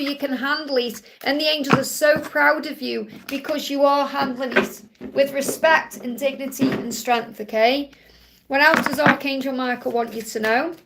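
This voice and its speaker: A middle-aged woman hosting a live psychic Q&A, chatty and reassuring, whose voice is getting croaky. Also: high-pitched, fairly bright tone, slight background noise. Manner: chatty, reassuring, peppered with "okay?" and "you know". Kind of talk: live-stream spiritual reading.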